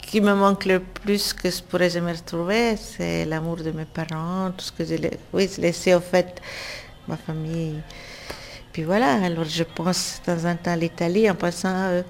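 Soft-spoken Italian-born woman with grandchildren. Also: fairly low pitch, fairly even intonation, moderate pace. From Italy. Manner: soft-spoken, warm, laughs easily. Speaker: interviewee. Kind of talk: casual interview answers.

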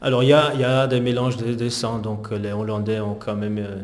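A man's voice: lowish voice, level tone, fairly quick. Hesitant middle-aged man, roomy sound. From Netherlands. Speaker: interviewed physiotherapist. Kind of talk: conversational interview answers recounting personal history.

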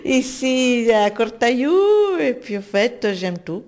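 A woman in her fifties from Italy, answering interview questions in a soft, warm manner. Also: a little high, slow. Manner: soft-spoken, warm, laughs easily.

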